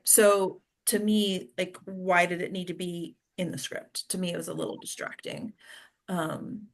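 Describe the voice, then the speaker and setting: A woman's voice: lowish voice, a bit bright. A woman hosting a casual discussion among actors, speaking in a thoughtful, conversational way and checking in with the others. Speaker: host of an acting discussion.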